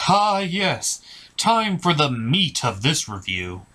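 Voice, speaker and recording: A man's voice: fairly high pitch, big pitch swings, slow pace. A man hosting a scripted comedic review, ranting in a theatrical, emphatic manner. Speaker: host and reviewer.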